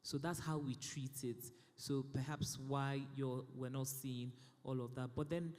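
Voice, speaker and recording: A man on a conference panel answering in a relaxed way, joking with the audience. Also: mid-range voice, a little slow. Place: conference hall. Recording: long hall reverb.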